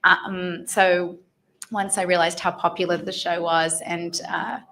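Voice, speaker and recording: A woman's voice: fairly low pitch, flat delivery, measured pace, fairly bright tone, a little hiss or hum. A woman with an Australian accent, chatting casually on a convention panel, rambling a little and laughing often. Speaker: actor guest at a fan convention panel.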